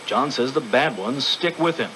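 A man's voice: medium pitch, animated, moderate pace, bright, forceful tone, a little hiss or hum, rough recording. A man narrating a scripted radio program as its host.

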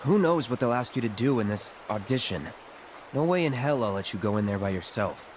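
A man's voice: mid-range voice, expressive, brisk, a little hiss or hum, natural voice. An actor playing a guarded, terse young mercenary swordsman who is awkwardly protective, in a video game cutscene. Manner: terse, hesitant, understated.

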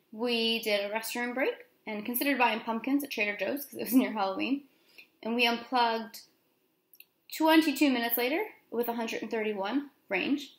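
Higher-pitched American woman, echoey room. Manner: conversational, informal asides. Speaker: vlogger. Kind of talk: casual vlog recounting from notes. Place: small room at home, indoors.

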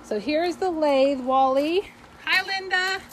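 A woman's voice: high-pitched, slow pace, a little hiss or hum. Chatty woman hosting an auction preview. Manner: chatty, upbeat, teasing. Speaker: auction company host. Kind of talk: casual livestream walkthrough. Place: auction storage shed, walking among items.

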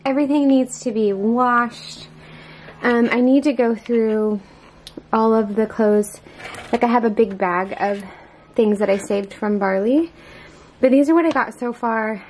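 A young American woman talks casually to her viewers as she potters around the house. Her voice sits fairly high, and she wanders from thought to thought informally. The handheld camera picks up clear background noise. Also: darkish tone, rough recording. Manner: informal, meandering, thinking aloud while tidying. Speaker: vlogger, mother preparing for a baby. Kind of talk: casual vlog chat to viewers. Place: inside a home, moving between rooms. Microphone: handheld camera mic.

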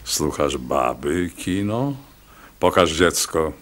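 An actor playing a haughty, menacing town doctor in a radio play, speaking in a condescending, ominous way. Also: male voice, a bass voice, lively intonation, slow pace, exaggerated voice. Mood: condescending, threatening.

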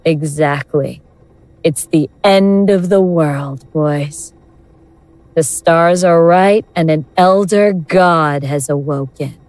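A woman's voice: sits low, a little mellow, no room sound. A woman acting in an audio drama as a mocking elder god in the guise of a young woman, teasing and condescending. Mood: knowing, amused. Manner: slow, teasing, condescending, self-possessed.

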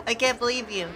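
A woman streaming a game with casual, playful commentary, laughing often, her voice a little hoarse and sore. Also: fairly high pitch, background noise. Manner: giggly, playful, exclaims at the game.

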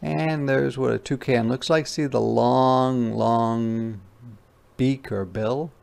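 A man's voice: medium pitch, steady tone, slow pace, creaky, a little mellow. A man reading a picture book aloud as a teacher, explaining things simply and conversationally for children. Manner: conversational, repeats phrases.

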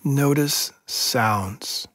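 A man guiding a sleep meditation in a soft, soothing, measured voice with long pauses between phrases. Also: mid-range voice, no echo. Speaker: meditation guide. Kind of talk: guided yoga nidra sleep meditation.

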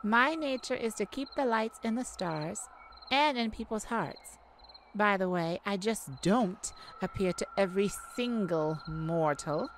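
A woman's voice: mid-range voice, expressive, a little hiss or hum. A woman playing a haughty yet kindly goddess of light in an ASMR audio roleplay, theatrical and teasing. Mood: mock-offended, haughty. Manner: theatrical, teasing, mock-offended, with pauses.

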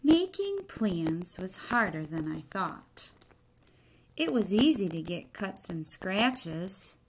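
A middle-aged woman reads a children's book aloud in deliberate short phrases, pausing to put questions to young listeners. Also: mid-range voice, big pitch swings, slightly rough, rough recording. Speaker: teacher reading a picture book aloud. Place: indoor room in a home.

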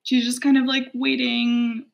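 A woman's voice: high voice, flat delivery, a little slow, gravelly. A young woman from New York in her twenties, talking about her web series on a video call in a casual, upbeat way. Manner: upbeat, casual with likes and kind-ofs. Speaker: web series creator and actress. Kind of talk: casual interview answers. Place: home room.

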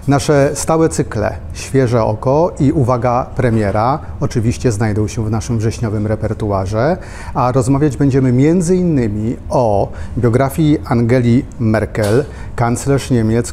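A middle-aged man hosting, announcing a new season of events in a measured, deliberate way in a bookshop cafe. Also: medium pitch, mellow, a little hiss or hum. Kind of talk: promotional announcement.

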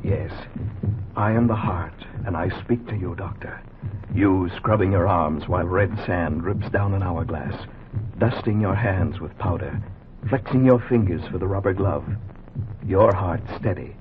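An actor voicing a human heart as a solemn, poetic narrator in 1940s radio drama style. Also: male voice, low-pitched, measured pace, background noise. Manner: solemn, intimate, declamatory. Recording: tinny old 1940s radio recording.

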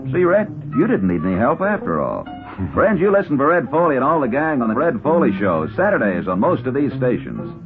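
A man's voice: mid-range voice, sing-song, fairly quick. An American man reading scripted promotional banter as a 1950s radio announcer. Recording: tinny old radio recording, music behind.

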